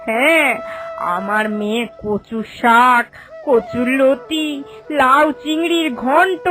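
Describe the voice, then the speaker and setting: A woman voicing an anxious, devoted middle-aged village mother in a cartoon, expressive and emotive. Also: on the high side. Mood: proud, fond. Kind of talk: animated cartoon dialogue.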